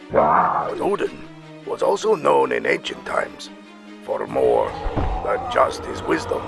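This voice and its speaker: A man as a grave, gravelly myth narrator. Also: a bass voice, exaggerated voice. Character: solemn storyteller narrating Norse myths. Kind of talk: dramatic scripted narration.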